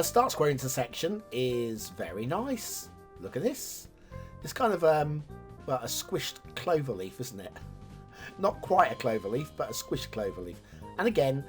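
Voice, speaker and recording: A man with a hoarse, slightly raspy voice from a chest infection, chatting casually as he reviews game maps. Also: medium pitch. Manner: chatty, animated, sudden jumps in loudness. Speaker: game map reviewer, host. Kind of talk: casual commentary over gameplay.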